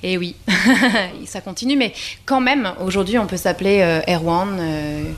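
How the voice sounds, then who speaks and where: A woman in her forties from Brittany, a singer being interviewed, talking casually with frequent pauses and restarts. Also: fairly low pitch. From Brittany, France. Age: 40s. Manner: hesitant, many pauses and restarts.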